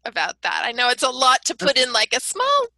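A woman's voice: high voice, huge pitch swings, brisk, pushed and bright, no echo. A woman, casually asking questions as a podcast interview host.

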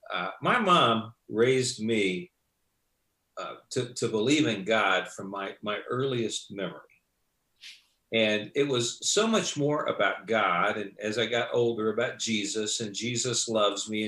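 A man's voice: fairly low pitch, fairly bright tone, roomy sound. A man giving a hesitant personal testimony at a church service, with frequent uh fillers. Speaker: church member sharing.

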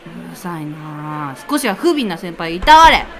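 A woman's voice: fairly low pitch, very animated, moderate pace, fairly bright tone, pitched below the performer's own voice. A woman playing a drunk, heartbroken woman in her thirties, a brash senior colleague with blunt, dramatic grumbling, in an amateur radio drama. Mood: drunk, indignant.

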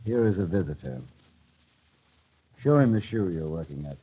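An actor playing a patient, protective man in a mid-century radio drama, prompting simply and deliberately. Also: deep voice, lively intonation, husky. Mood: patient, coaxing. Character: patient, protective man tending a broken prisoner. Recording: muffled old radio broadcast.

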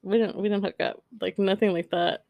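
A woman's voice: medium pitch, steady tone, gravelly, no echo, lo-fi sound. A young woman co-hosting a casual couple's podcast, telling stories candidly. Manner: candid, storytelling, quick laughs. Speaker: podcast co-host.

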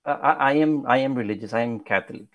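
A man's voice: medium pitch, fast talker, no room sound. A man in his thirties from South Texas, a native Spanish speaker with a Spanish accent, talking in a podcast interview in a modest, hesitant way with many fillers and restarts. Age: 30s. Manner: modest, soft-spoken. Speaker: political candidate.

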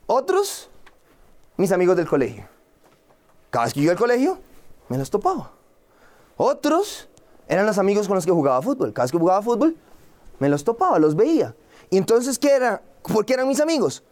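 A man's voice: high voice, huge pitch swings, a little fast, long pauses, a little mellow. A young man from Costa Rica with a Costa Rican accent, giving a motivational seminar talk to a live audience, asking rhetorical questions and acting out quoted voices. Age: young adult. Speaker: guest speaker, entrepreneur.

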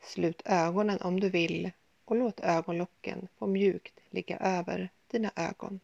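A woman guiding a yoga nidra body scan in a soothing, gentle, unhurried manner with pauses. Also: lowish voice, fairly even intonation, creaky, dry recording, dull audio. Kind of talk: guided meditation, body scan.

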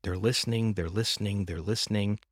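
Deep husky man hosting a faith podcast. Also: fairly quick, no echo, studio quality. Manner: earnest, reflective, talks in long linked thoughts. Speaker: podcast host. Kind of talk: casual faith-based podcast conversation.

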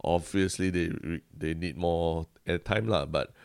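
A man's voice: deep voice, a little slow, gravelly, clean tone, dry recording, studio quality. A man with a Singaporean accent co-hosting a podcast, talking casually and colloquially with Singlish particles. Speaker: podcast co-host. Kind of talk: casual conversational political chat.

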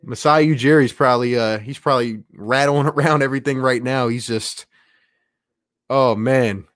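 A man hosts a live sports chat in a dry, close-sounding recording. His voice sits at an ordinary male pitch. He talks in slangy, exclamatory bursts, reading viewer messages and addressing everyone casually. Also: normal speed, fairly bright tone, dry recording. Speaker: livestream host.

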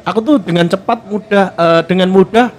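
A man's voice: sits high, a little fast. A man from East Java with a Javanese accent, chatting casually as a co-host and often restarting his words. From Lamongan, East Java. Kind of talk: casual chat.

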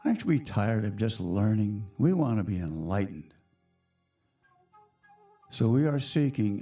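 A hoarse male radio host speaks slowly and reflectively, talking straight to his listeners about spiritual matters. His voice is gravelly and mellow. Faint music plays behind him. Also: fairly low pitch. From United States. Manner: slow, reflective, chatty with the audience.

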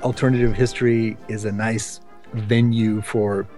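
A man's voice: on the low side, flat delivery, unhurried, warm tone, soft background music. A man hosting a podcast, chatting casually in a wry, musing way. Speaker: podcast host. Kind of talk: casual conversational discussion.

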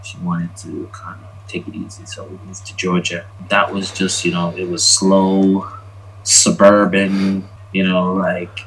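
A Jamaican-born man, talking casually as an interview guest, relaxed and rambling with lots of ums. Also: sits low, a little slow, slight background noise, poor audio. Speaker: interview guest, graphic designer.

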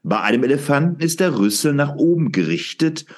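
A man's voice: a little high, very animated, fairly quick. A man narrating an audio drama from a script, in a storyteller's descriptive delivery.

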